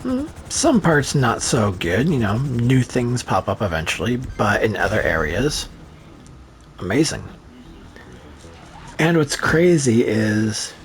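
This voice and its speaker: A man's voice: fairly low pitch, fairly bright tone, slight background noise. A man rambling through casual gameplay commentary, sarcastic and profane, with a voice that is starting to go. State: losing his voice. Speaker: gaming streamer.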